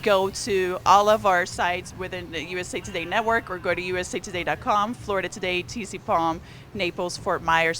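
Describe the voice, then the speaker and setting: A woman's voice: medium pitch, a bit bright, a little hiss or hum. A woman whose first language is Portuguese, a newspaper columnist talking politics on a live stream in a chatty way, with fillers and restarts. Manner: conversational, fillers and restarts, rising energy on key points.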